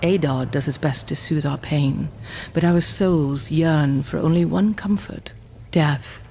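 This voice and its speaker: A woman playing a solemn old shaman of a dying giant race in a fantasy game, speaking in a measured, mystical way. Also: low voice, vocal fry, dark tone, a little hiss or hum, full character voice. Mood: solemn, sorrowful. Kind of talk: dark fantasy game acting.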